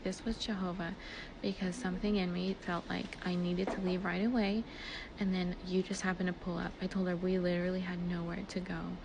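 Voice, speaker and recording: Woman, lowish creaky voice, casual rambling storyteller quoting conversations, noisy amateur clip. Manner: filler-heavy, conversational, quotes dialogue as she retells. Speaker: speaker recounting personal events. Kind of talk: casual personal storytelling, like a voice message.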